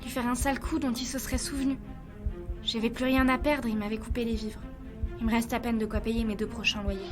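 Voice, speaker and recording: A woman's voice: fairly high pitch, little pitch movement, a little fast, over music, natural voice. An actress playing a defensive, frightened young woman suspected of murder in a French audio drama, speaking colloquially. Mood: guilty, shaken. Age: 20s.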